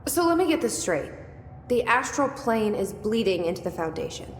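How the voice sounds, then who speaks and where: An actress playing a young agency director in a video game, terse and probing, with an understated, dry delivery. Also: female voice, mid-range voice, sing-song, moderate pace, close to an everyday voice. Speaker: game protagonist. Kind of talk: video game dialogue acting. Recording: echoey hall reverb with noisy game ambience.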